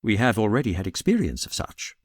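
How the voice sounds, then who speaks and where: A self-assured Victorian gentleman detective, played by a male audiobook reader, with a British accent. He is precise, amused and theatrical, with pitch that rises and dips freely. The sound is dry and close. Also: sing-song, fairly quick, dry recording. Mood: amused, musing. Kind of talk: audiobook character reading.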